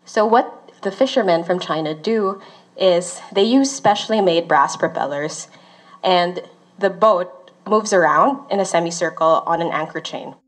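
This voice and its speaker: A woman from the Philippines, a research associate, calmly explaining findings with occasional fillers. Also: on the low side, unhurried. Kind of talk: explanatory interview talk.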